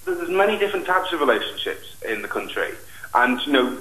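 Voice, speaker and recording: A young man calling a phone-in, giving an earnest, halting personal account. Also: on the high side, very animated, pushed and bright, roomy sound, low-quality recording. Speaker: phone-in caller. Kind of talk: personal account on a live call.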